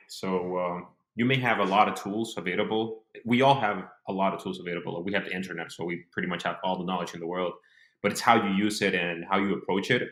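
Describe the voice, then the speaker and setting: A man's voice: low-pitched, fairly bright tone, echoey room. A man in his mid-twenties from Honduras talking casually as an interview guest, telling his story reflectively in short phrases. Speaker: interview guest, business owner.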